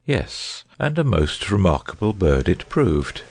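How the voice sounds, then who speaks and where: A man voicing a suave, assured gentleman detective in an audiobook story, speaking with bland, precise courtesy. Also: low voice, big pitch swings, unhurried, breathy edge, dry recording, exaggerated voice. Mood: quietly triumphant.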